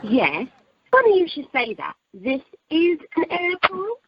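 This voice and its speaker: Literal-minded, cheery airline assistant, woman performer; amateur radio comedy, animated, on a dry dull phone line. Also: high-pitched, measured pace, slight background noise, heavily acted. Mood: helpful. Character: chirpy, dim, literal-minded female airline check-in assistant. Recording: muffled, dry telephone conference line.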